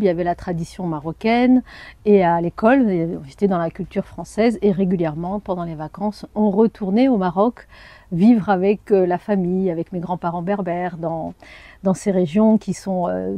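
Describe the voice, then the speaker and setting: French woman in her 40s, mellow low-key voice, dry close mic, lots of little pauses. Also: no room sound. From France. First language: Arabic. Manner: thoughtful, with frequent hesitations. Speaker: journalist and anthropologist. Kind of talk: personal interview.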